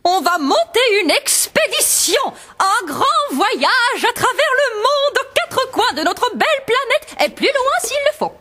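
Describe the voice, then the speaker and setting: A woman's voice: very high voice, sing-song, a little slow, hardly any pauses, sharp tone, exaggerated voice. An actress playing a doting, determined queen mother in a French cartoon, cajoling theatrically. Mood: grandly enthusiastic.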